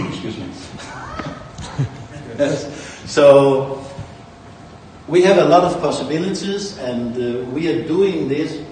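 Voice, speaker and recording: A man's voice: a little high, slow pace, a little mellow, a little hiss or hum. Boastful Danish man in an echoey hall. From Denmark. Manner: boastful, rambling, salesy. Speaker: company founder giving a speech. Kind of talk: conference speech. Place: large conference hall. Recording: distant mic in an echoey hall with faint ambience.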